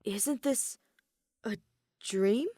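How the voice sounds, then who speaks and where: A woman's voice: fairly high pitch, drawn-out words, dark tone, slightly rough, no echo, studio quality, heavily acted. A woman voicing a weary, withdrawn young man in denial, hesitant and subdued, in a fan fiction audio reading. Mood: weak confusion.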